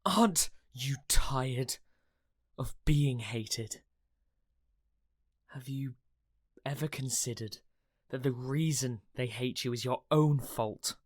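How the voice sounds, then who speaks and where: A woman's voice: a bass voice, slow pace, pauses often, exaggerated voice. A woman acting in a radio play as an earnest, idealistic immortal, questioning and pleading with emotional investment. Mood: challenging, hurt. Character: earnest, idealistic, challenging immortal counterpart of Death.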